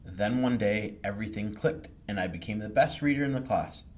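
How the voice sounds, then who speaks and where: A man's voice: lowish voice, brisk, a little mellow, lightly acted. A man voicing a supportive, encouraging mother in a storybook reading. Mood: reassuring.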